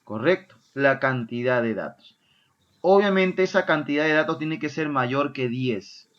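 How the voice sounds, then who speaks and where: A male math teacher gives a lively class. He keeps checking in with his students. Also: medium pitch, unhurried, highs cut off. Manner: lively, asks "¿ya?" and "¿correcto?" often, answers his own imagined student questions. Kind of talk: online math lesson.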